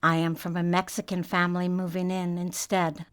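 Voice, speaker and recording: An older woman recites memories of her childhood reflectively. Her voice is smooth and resonant, sitting a little low for a woman. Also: monotone, normal speed. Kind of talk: personal memoir recitation.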